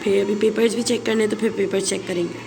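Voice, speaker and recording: Hoarse young woman vlogging, gravelly. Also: medium pitch, fairly even intonation, fast. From Karachi, Pakistan. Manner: rambling, chatty, mixes in English. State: hoarse, sore throat. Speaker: vlogger and schoolteacher. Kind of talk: casual vlog chat. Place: at home. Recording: noisy background hum.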